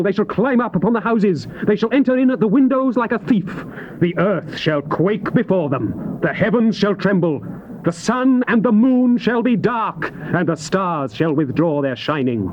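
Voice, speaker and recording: A solemn prophet of the Old Testament proclaims God's word. His voice sits fairly high, with grand, emphatic phrasing. It comes from a dull old cassette with some hiss. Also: lively intonation, normal speed. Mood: ominous, urgent. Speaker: actor reading the Bible. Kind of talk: dramatized scripture reading, theatrical declamation. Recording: muffled, noisy old cassette tape.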